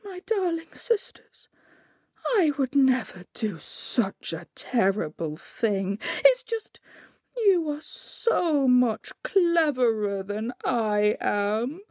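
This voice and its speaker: A woman's voice: fairly high pitch, very animated, takes it slowly, darkish tone, dry recording, studio quality, voice pushed up, full character voice. A woman storyteller voicing a male trickster coyote, half man and half dog, exclaiming and wheedling melodramatically. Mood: pleading, flattering. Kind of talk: live oral storytelling.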